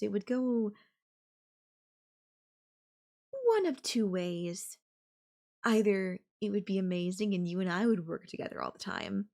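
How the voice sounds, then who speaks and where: A woman playing a possessive, doting young yandere girlfriend in an intimate audio roleplay, speaking coyly and affectionately with lingering pauses. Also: medium pitch, vocal fry, darkish tone. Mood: protective, scornful.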